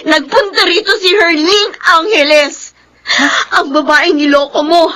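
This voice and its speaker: A woman's voice: very high voice, a little slow, sharp tone, full character voice. An actress playing a proud young girlfriend who feels betrayed, indignant and confrontational, in a Filipino radio drama. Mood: tearful fury.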